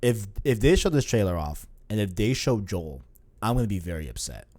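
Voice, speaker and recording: A man's voice: on the low side, a little fast. A man in his early twenties, hosting a casual podcast and rambling with lots of filler. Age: 20s. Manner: rambling, animated, repeats "you know what I'm saying". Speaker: podcast host.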